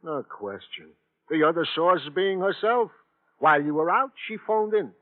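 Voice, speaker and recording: An actor playing a wordy, mock-pompous American police sergeant sidekick, with fussy formal phrasing, in a 1950s radio drama. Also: male voice, fairly high pitch, lively intonation, normal speed, lightly acted. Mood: fussy, earnest. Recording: vintage radio, tinny band-limited sound.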